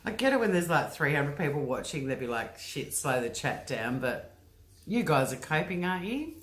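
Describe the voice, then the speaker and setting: Woman, very deep voice, chatty livestream host, rambling, lots of ums and likes, home computer mic. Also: very animated, normal speed, a bit bright. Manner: chatty, rambling, often says um and like. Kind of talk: casual livestream chat reading comments.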